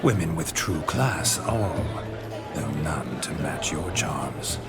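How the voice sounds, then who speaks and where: An actor playing a monster hunter with a dry, understated manner in a video game drama. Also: male voice, a bass voice, animated, takes it slowly, a loud crowd, full character voice. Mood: boastful, flirtatious. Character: deep-voiced, dry, guarded monster hunter, sometimes possessed by a ghost. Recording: loud music behind the voice.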